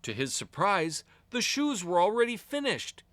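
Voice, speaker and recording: A man reading a fairy tale aloud to children as an expressive storyteller, with deliberate storybook phrasing. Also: high-pitched, no echo.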